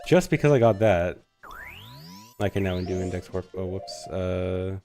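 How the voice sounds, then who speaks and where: A man's voice: low voice, lively intonation, measured pace, over music. A man streaming a game live, getting over a cold and muttering to himself as he plays. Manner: mutters to himself while playing. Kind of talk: casual live-stream commentary.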